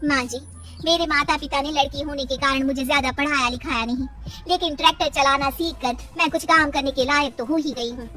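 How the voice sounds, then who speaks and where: A woman playing a bright, determined young daughter-in-law. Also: sits high, steady tone, fairly quick, recorded from a distance, soft background music. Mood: earnest. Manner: respectful, earnest.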